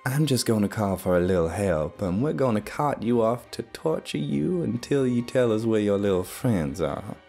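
A man's voice: on the low side, animated, moderate pace, gravelly, hardly any pauses, mellow, soft background music, pitched above the performer's own voice. A man voicing a peppy, middle-aged monster woman in a horror story, sugary and folksy with cheerful menace. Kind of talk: dramatic horror story character voice.

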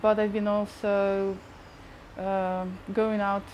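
A young woman speaking hesitantly with many pauses and fillers in a documentary interview at home. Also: mid-range voice, flat delivery, lo-fi sound, a little hiss or hum. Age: young adult. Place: bedroom with posters.

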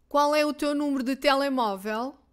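A woman teacher with a European Portuguese accent, reading simple phrases twice each, clearly and deliberately, for learners. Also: sits high. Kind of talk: slow repeated phrase drills for learners.

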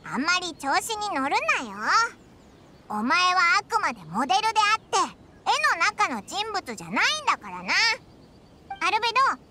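A woman voicing a small, childlike fairy companion in a video game, squeaky, blunt and bossy. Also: huge pitch swings, moderate pace, a little hiss or hum, full character voice. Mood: chiding. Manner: blunt, scolding, boyish rough phrasing. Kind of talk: animated game dialogue acting.

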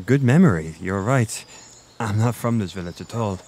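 A man plays a flirty Irish innkeeper in a fantasy roleplay audio, with a lowish voice. He is teasing and gentle, chatting intimately and poking fun at himself. Clear background ambience runs behind his voice. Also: lively intonation, moderate pace, slight background noise, lightly acted. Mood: friendly, reminiscing. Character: flirty Irish male innkeeper, gentle, self-deprecating former adventurer.

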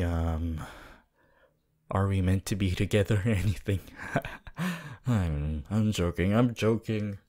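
Man acting a low, slow, teasing anime boy. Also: a little mellow, heavily acted. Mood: playful, hesitant. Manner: halting, teasing, casual. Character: teasing, laid-back, sceptical teenage boy from anime. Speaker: voice actor. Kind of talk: intimate ASMR roleplay addressed to listener.

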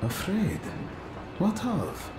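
A man playing a kindly crewman in a game, gently comforting like a caring uncle. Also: high-pitched, wildly swooping pitch, extremely slow, very noisy, exaggerated voice. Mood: gentle concern. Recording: roomy echo, loud vehicle ambience.

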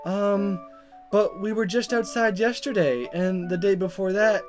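A woman's voice: medium pitch, measured pace, a little mellow, faint music behind. A woman hosting a let's play, reading dialogue aloud dramatically and commenting in a playful, exaggerated way. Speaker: gaming video host.

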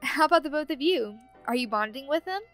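Woman playing a high-pitched playful ghost roommate. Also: fairly quick, faint music behind. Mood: chatty, candid. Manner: playful, chatty, expressive. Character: friendly, teasing, impatient young female ghost roommate. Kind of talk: ASMR roleplay audio drama.

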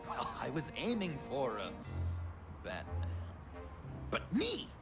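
A man's voice: medium pitch, wildly swooping pitch, slow, full character voice. A man playing an unhinged, flamboyant and petulant young villain in a video game, theatrical and giggly, moving between whispers and outbursts. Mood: eager, playful. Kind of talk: video game character acting. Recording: loud music underneath.

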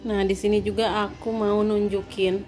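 A woman giving a chatty, quiet tour of her home, talking to viewers as friends while filming on a phone. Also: medium pitch, level tone, normal speed, soft background music. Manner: quiet, soft-spoken. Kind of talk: casual house tour narration. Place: inside a home.

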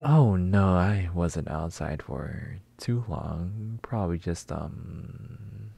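A man acting a shy, polite, flustered neighbor in a roleplay ASMR, hesitant, apologetic and breathy. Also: sits low, animated, extremely slow, no echo, exaggerated voice. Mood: sheepish. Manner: hesitant, soft-spoken, apologetic, breathy sighs and whispers.

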